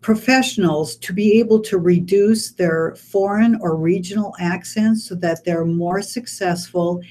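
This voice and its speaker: A woman with a Midwestern American accent, a speech pathologist guest on a radio interview, explaining things in a measured, step-by-step way. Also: medium pitch, warm tone. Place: radio studio.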